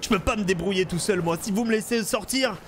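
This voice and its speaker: An expressive, excitable man, doing gameplay commentary as host. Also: high-pitched, fast talker, crowd noise. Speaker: gaming video host and role-player.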